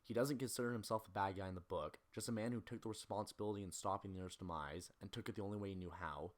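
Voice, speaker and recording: A man with a fairly low voice narrates a scripted video essay. He moves quickly through his analysis, speaking at a brisk clip.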